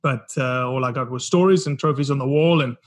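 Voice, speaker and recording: A man's voice: mid-range voice, fairly quick. A man in his forties from South Africa with a South African accent, telling his story earnestly as a podcast guest. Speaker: podcast guest, documentary founder. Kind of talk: casual interview talk.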